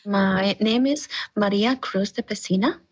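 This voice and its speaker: A woman from Mexico with a Mexican Spanish accent, an adult English learner speaking earnestly in halting English. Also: mid-range voice.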